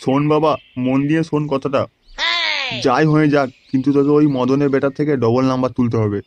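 A man's voice: mid-range voice, level tone, fast, darkish tone, muffled recording, close to an everyday voice. A man voicing a crude, anxious village father in a comedy cartoon, blustering and swearing. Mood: urging, proud. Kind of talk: Bengali comedy cartoon dubbing.

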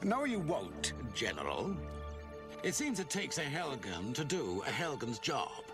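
A man's voice: a little high, wildly swooping pitch, measured pace. A man playing a cold, scornful middle-aged enemy commander in a video game, speaking with sardonic deliberation. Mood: scornful, resolute. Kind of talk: video game villain acting. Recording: very loud music underneath.

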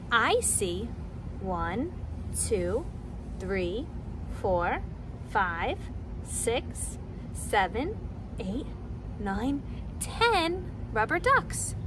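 Young lady doing storytime for little kids, very singsong, slow, bit of background noise. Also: a little high. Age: 20s. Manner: animated, questioning, deliberate enunciation for children. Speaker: children's teacher reading aloud. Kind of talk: picture-book read-aloud for young children.

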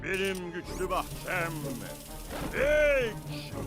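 A man's voice: high voice, big pitch swings, very slow, music in the background, heavily acted. A man voicing a pompous, theatrical cartoon villain who is vain, scheming and easily flustered. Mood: boastful. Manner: pompous, bombastic, maniacal laughing. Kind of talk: exaggerated cartoon dub acting.

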